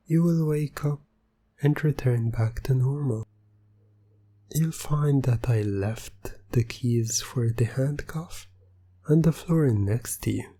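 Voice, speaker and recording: A man playing a teasing, domineering hypnotist in an ASMR roleplay, breathy and often near a whisper, with a deliberate, commanding manner. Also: mid-range voice, mellow. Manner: slow, deliberate, commanding, taunting. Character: teasing, domineering male hypnotist, smug and in control. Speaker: roleplay audio performer.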